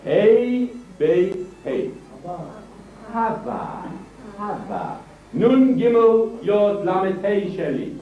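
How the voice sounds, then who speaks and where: Brisk high-voiced teacher in an echoey room. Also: male voice, sing-song. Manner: brisk, dictating letters to a class. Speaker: language teacher. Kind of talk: classroom dictation. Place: classroom. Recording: echoey lo-fi old film sound, from far off.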